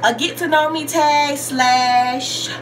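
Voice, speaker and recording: High-voiced young Southern woman vlogging. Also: fairly even intonation, takes it slowly, fairly bright tone, soft background music. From Georgia, United States. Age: 20s. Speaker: YouTube vlogger. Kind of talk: casual question-and-answer vlog.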